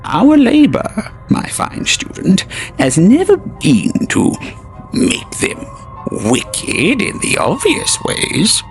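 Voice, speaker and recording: A man's voice: huge pitch swings, takes it slowly, vocal fry, faint music behind, heavily acted, low-quality recording. A man voicing a scornful ancient male demon, with a whispery, sneering delivery. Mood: lecturing. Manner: whispery, sneering, swings high and low.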